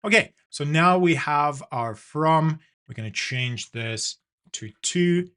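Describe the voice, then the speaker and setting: Bright-voiced South African man teaching design. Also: fairly high pitch, slow pace, clear, resonant tone. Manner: relaxed step-by-step narration with fillers. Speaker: design tutorial host. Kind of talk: screen-recorded software tutorial.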